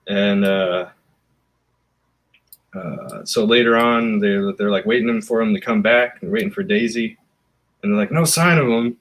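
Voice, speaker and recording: A man casually recounting a comic's plot, halting with fillers and restarts. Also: fairly low pitch, fairly bright tone. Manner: rambling, halting storytelling. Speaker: comic artist guest. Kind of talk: casual chat.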